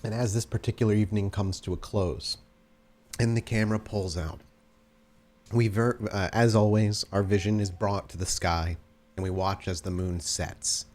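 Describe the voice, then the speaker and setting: Low-voiced man running a tabletop game. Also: fairly even intonation, normal speed, darkish tone. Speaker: storyteller, game master. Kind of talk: narrating a tabletop roleplay show.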